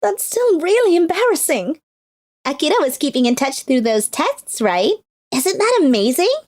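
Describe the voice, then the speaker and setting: A woman playing a youthful, expressive schoolgirl in scripted animated dialogue. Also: high-pitched, normal speed. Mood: teasing. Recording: music behind the voice.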